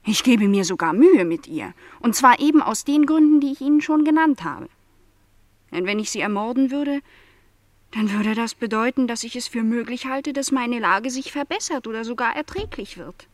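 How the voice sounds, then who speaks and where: An actress playing a young housemaid in a radio play, lonely, stubbornly resigned and quietly bitter, speaking in measured, formal sentences. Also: female voice, a little high, lively intonation, dry recording, lightly acted. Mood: bitter, insistent. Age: young adult.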